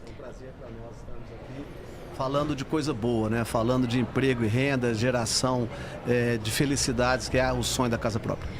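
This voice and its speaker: A man's voice: mid-range voice, steady tone, brisk, long pauses. A man with a Brazilian accent giving informal, explanatory answers as an executive guest in an interview. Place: convention centre trade fair. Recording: faint event hall background noise.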